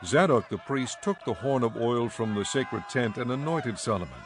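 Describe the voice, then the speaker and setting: A man narrating scripture in a measured, formal storytelling manner for a dramatized audiobook. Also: medium pitch, expressive, noisy background.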